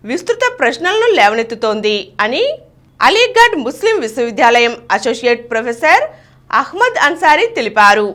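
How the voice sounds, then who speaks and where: A woman's voice: sits high, a bit bright. A woman reading scripted news as a bulletin presenter. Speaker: news reader.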